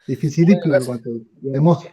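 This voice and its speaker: A man's voice: fairly high pitch, fast, dark tone, slightly rough, lo-fi sound. A man chiming in during a conversation with short remarks and agreeing sounds. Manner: brief agreeing interjections.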